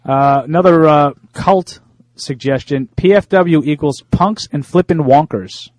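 A man's voice: on the high side, a little mellow, dry recording. A man co-hosting a sports talk show, chatting casually and reading out listener emails with plenty of fillers. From Italian-American. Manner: conversational, reads emails aloud with halting fillers.

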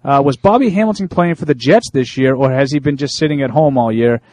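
A man's voice: mid-range voice, a little mellow, no room sound. A man co-hosting a sports talk show, chatting casually and reading out listener emails with plenty of fillers. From Italian-American. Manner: conversational, reads emails aloud with halting fillers.

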